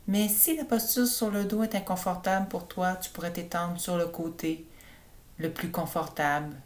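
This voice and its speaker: A woman yoga teacher leading a guided relaxation for sleep in a slow, calm, soothing manner. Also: lowish voice. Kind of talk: guided meditation narration for sleep.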